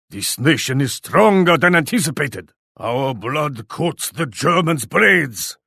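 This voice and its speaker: An actor playing a proud, commanding Japanese warlord in a video game, speaking in a haughty, formal, declarative way. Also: male voice, high-pitched, gravelly, pushed and bright, slightly rough, dry recording, clean studio sound, full character voice. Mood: grim, alarmed. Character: proud, imperious Japanese warlord who speaks of himself grandly. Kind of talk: dramatic video game voice acting.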